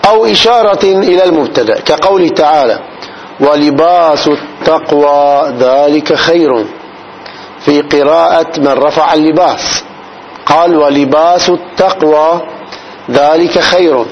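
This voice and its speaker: A man teaches a classical grammar lesson in a slightly raised voice. He breaks each point down slowly and keeps asking his students whether it is clear. The recording sounds dull, with a faint hiss behind him. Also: on the high side. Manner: explains slowly, often checks the class's understanding.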